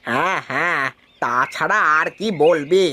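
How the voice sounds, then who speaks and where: A man voicing a competitive, boastful village farmer in an animated moral tale. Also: on the high side, measured pace. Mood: taunting.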